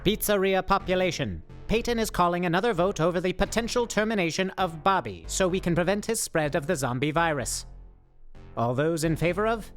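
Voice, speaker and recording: An actor playing a pompous, verbose young oddball who treats everything as an official survival log in a radio play. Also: male voice, high-pitched, a little fast, soft background music, close to an everyday voice. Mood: officious. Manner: mock-official, verbose, self-important.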